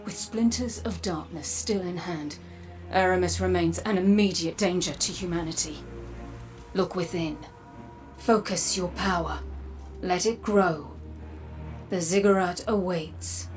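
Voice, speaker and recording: An actress playing a resolute, enigmatic female guide in a video game, earnest and deliberate. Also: lowish voice, faint music behind, close to an everyday voice. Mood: commanding. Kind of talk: video game narration, acted.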